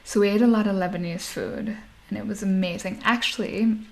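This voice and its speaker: A woman in her thirties who grew up in Nigeria chats through an interview, often laughing as she speaks. Her voice sits at an ordinary middle pitch with a gravelly, creaky texture. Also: steady tone, fairly quick, a little hiss or hum. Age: 30s. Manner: chatty, laughs often while talking. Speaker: guest. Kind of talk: casual interview conversation.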